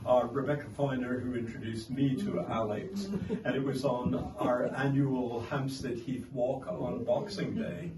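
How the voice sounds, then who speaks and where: A man speaking at a book launch, haltingly recounting how the author was introduced. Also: medium pitch, a loud crowd. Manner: halting, hesitant. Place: art gallery, indoors. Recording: echoey gallery, distant, noisy.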